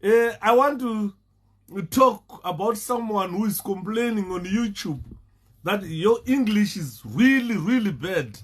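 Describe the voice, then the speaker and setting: A man's voice: high voice, expressive, measured pace, slightly rough. A Zimbabwean man talking casually on a live stream, stopping and repeating words and laughing often. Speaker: Facebook live host.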